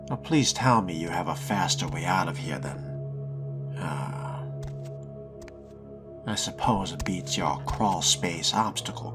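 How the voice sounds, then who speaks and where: A wry young vampire voiced by a man roleplaying a game, deep in pitch and slow in pace. He muses aloud with dry, theatrical curiosity. Music plays clearly behind him. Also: heavily acted. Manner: musing aloud, dry, theatrical, deliberate phrasing. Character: wry, curious male vampire fledgling on the hunt. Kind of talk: in-character video game roleplay narration.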